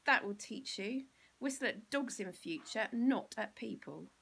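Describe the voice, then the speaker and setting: A woman with an English accent playing a furious, indignant schoolboy, yelling savagely and emphatically in a storybook. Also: medium pitch, animated, measured pace, vocal fry, breathy edge, full character voice. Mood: triumphant anger.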